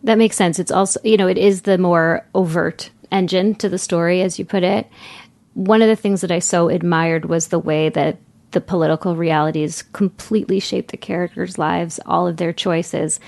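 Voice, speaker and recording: A woman hosting a literary podcast, putting measured, thoughtful questions to an author in conversation. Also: fairly low pitch, steady tone, gravelly, a little mellow. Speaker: podcast host interviewing an author.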